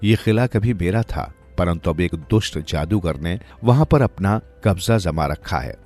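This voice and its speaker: A man's voice: sits low, animated, measured pace, darkish tone, soft background music, exaggerated voice. A man voicing a weary, poor old village woman with lost magic in a storybook tale. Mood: weary, sorrowful. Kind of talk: storybook character dialogue.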